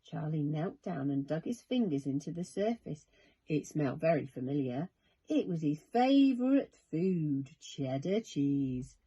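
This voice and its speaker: Slow, expressive woman reading bedtime stories. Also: low voice, slightly rough, dull audio. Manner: expressive, measured, dramatic. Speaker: storyteller. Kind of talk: children's bedtime story narration.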